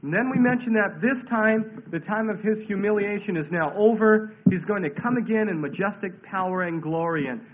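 Through a thin, narrow radio-like band comes a male preacher with a notably high voice. He sounds congested with a head cold. He expounds scripture verse by verse in an emphatic, declamatory way, repeating key phrases. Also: brisk, hardly any pauses. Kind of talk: verse-by-verse Bible sermon.

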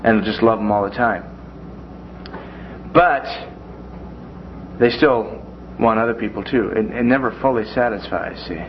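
A man's voice: on the low side, measured pace, pauses often. A man leads a Bible lesson in a classroom in a folksy manner, with joking asides. The recording is dull and lo-fi, and faint hiss runs beneath his voice. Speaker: Bible teacher.

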